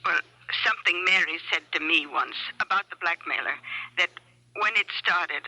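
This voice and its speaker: An actress playing a distraught small-town wife in a 1970s radio drama, fretful, hesitant and emotional. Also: female voice, lowish voice, moderate pace, pushed and bright. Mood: nervous, confiding. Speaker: actor. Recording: dull old radio broadcast recording.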